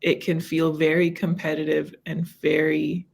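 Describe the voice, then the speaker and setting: A woman's voice: low voice, monotone, unhurried, vocal fry. A woman from Los Angeles, a therapist guesting on a podcast, chatting casually in a bubbly, self-mocking way. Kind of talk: casual chat.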